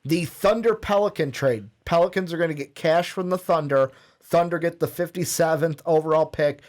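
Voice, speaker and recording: Male co-host, a bit higher pitch, loose conversational read with ums. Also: moderate pace. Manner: casual, filler-heavy, self-correcting. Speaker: podcast co-host. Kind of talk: reading a mock draft list.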